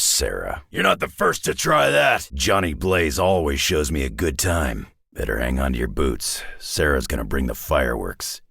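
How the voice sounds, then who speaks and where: Game casting: gruff, sardonic tough guy, very low and gravelly, cocky and battle-worn. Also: fairly bright tone, dry recording, studio quality, full character voice. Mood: combative, taunting. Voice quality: gravelly, raspy growl. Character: gruff, cocky, battle-hardened mutant brawler, adult man. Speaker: video game character voice actor. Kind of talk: video game voice lines.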